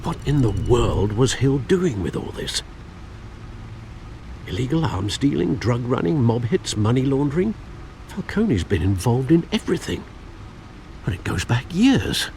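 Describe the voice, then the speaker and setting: An actor playing a loyal older British butler in a video game, speaking formally and eloquently as a grave, watchful adviser. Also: male voice, mid-range voice, sing-song, a little slow, long pauses, a little mellow, a little hiss or hum. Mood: incredulous, concerned. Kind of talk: dramatic video game acting.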